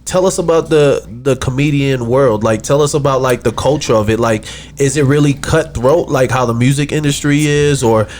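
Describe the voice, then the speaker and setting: A man's voice: mid-range voice, normal speed, few pauses, darkish tone, a little hiss or hum. A man hosting a casual talk show chat, slangy, often repeating himself as he agrees or asks questions.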